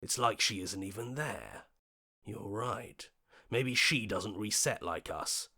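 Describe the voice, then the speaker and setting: A man voicing a level-headed, questioning hero in a video game, speaking earnestly in short deliberate lines. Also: lowish voice, creaky, pushed and bright, full character voice. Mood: pondering.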